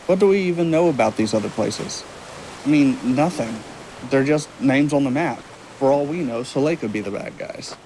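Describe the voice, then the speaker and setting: A man's voice: medium pitch, moderate pace, lightly acted, low-quality recording. A man acting in an audio drama as a wistful, curious traveling stage magician, talking in a casual, musing way. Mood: doubtful. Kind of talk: audio drama dialogue, naturalistic. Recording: steady sea and wave noise behind.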